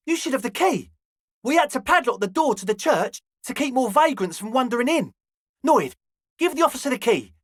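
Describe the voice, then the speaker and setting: An actor playing an excitable, friendly young male rave promoter in a video game, with an earnest, gushing, slangy way of talking. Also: very high voice, no room sound, clean studio sound, full character voice. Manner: earnest, gushing, slangy club-kid talk. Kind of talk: video game character dialogue.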